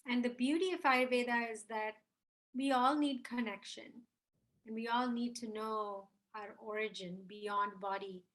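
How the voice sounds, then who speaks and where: A woman's voice: fairly high pitch, steady tone, unhurried, fairly bright tone, rough recording. A woman in her fifties from India, a spiritual mentor, talking as an interview guest in a reflective, earnest way. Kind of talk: interview conversation.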